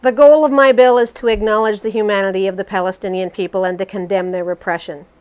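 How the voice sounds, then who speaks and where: A woman voicing a resolute, critical politician in a scripted interview re-enactment, formal and measured. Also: mid-range voice, fairly even intonation, darkish tone, close to an everyday voice.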